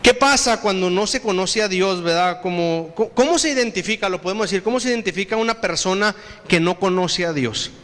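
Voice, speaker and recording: A man with a Mexican Spanish accent preaching a sermon. He addresses the congregation again and again and reads scripture slowly and with emphasis. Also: high-pitched, fairly bright tone. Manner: preaching with repeated appeals to the congregation, slow emphatic scripture reading. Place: church hall. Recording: reverberant hall.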